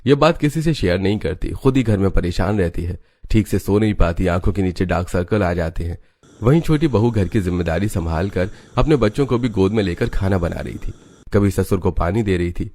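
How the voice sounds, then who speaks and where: A man's voice: low-pitched, expressive, brisk, a little mellow, dry recording. A man narrating a scripted family story for a cartoon.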